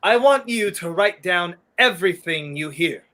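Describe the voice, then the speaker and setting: A young man acting the earnest, determined hero of a 1930s-style radio play, a gentleman wrongly accused. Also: sits high, animated, normal speed. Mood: urgent, insistent. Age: young adult.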